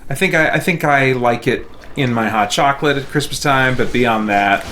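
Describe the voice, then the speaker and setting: A man's voice: mid-range voice, moderate pace, sharp tone, a little hiss or hum. A man streaming a game, chatting casually and muttering to himself in a distracted way. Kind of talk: casual chat while gaming on a live stream.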